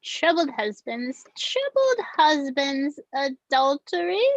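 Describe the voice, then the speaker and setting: A woman's voice: high-pitched, big pitch swings, takes it slowly, muffled recording, full character voice. A woman playing an eccentric, witchy old grandmother in a theatrical comedic table read, matter-of-fact about grisly things. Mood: searching, musing. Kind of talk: script table read, comedic character acting.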